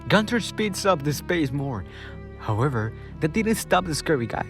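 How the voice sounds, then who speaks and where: A man's voice: a little high, big pitch swings, normal speed, faint music behind. A man giving dramatic scripted narration for a radio drama.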